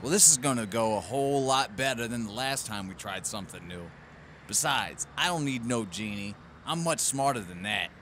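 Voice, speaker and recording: A man's voice: mid-range voice, expressive, normal speed, a bit bright, slight background noise, natural voice. Man acting a bossy crook in echoey reverb. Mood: smug. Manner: gruff, commanding, impatient. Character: bossy, short-tempered New York crook and heist schemer. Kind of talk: audio theater acting.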